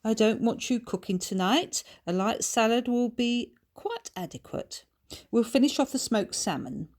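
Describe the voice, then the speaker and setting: A woman's voice: on the high side, animated, unhurried, a little mellow, voice pushed up. A woman voicing a wiry, grand, affectionate lady of the manor, genteel, effusive and used to giving orders, in a storybook. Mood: gracious.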